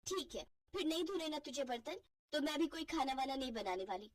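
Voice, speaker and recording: A woman's voice: high-pitched, expressive, fairly quick, recorded from a distance. A woman voicing a bossy, nagging young housewife who scolds huffily in a family cartoon. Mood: scolding. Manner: scolding, huffy. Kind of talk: Hindi family cartoon voice acting.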